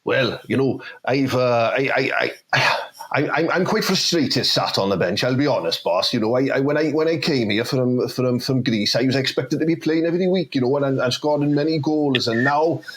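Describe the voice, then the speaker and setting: A man's voice: medium pitch, moderate pace, fairly bright tone, natural voice. A man doing a stammering Welsh footballer. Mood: frustrated. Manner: stammering, hesitant. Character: shy Welsh male footballer from the valleys. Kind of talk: improvised comedy sketch.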